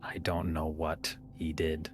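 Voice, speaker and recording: A man's voice: extremely low pitch, monotone, slow pace, music in the background. A man playing in a tabletop game, talking in character with the other players. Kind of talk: in-character roleplay discussion.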